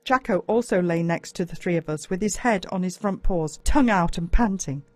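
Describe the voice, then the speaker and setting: A woman with an Australian accent reads a first-person story as a dramatic, expressive audiobook narrator. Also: fairly low pitch, fairly quick, no echo.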